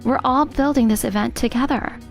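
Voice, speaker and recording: A woman plays a sweet young fiancée from a manga dub, pitched quite high. She is earnest and loyal, gentle yet firm. Soft music runs behind her. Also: a little fast, creaky. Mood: firm, indignant. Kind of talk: animated manga dub dialogue.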